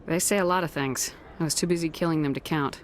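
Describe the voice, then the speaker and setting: A woman's voice: deep voice, quick pace, a little hiss or hum, lightly acted. An actress playing a no-nonsense, self-assured female military commander in a video game, speaking curtly. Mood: cool, curt.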